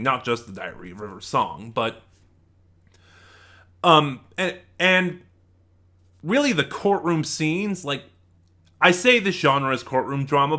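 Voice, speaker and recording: Male reviewer, very bright forceful delivery, keen and emphatic, halting off-the-cuff phrasing. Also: mid-range voice. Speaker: video reviewer. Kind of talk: casual unscripted audio drama review.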